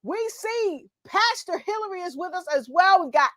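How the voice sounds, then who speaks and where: A woman hosting an online event, excited and whooping as she brings in the guests. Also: very high-pitched, moderate pace. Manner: excited, whooping, hypes up the crowd. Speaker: event host.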